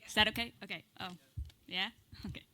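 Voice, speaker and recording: An actress with an Irish accent playing a nervous, game audience member, haltingly reading a script aloud on stage. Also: female voice, medium pitch, bright, forceful tone. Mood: nervous, wry. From Ireland. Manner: hesitant, halting. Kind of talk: live storytelling performance, reading from a script. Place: on stage at a live cellar event. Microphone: stage microphone.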